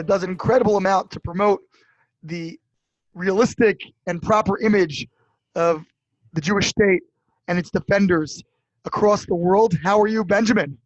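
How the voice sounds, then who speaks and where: A man who is a rabbi hosts an interview in a notably high voice, friendly and easygoing. Faint music plays beneath him. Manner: friendly, joking, with fillers. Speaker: rabbi, podcast host. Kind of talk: podcast interview.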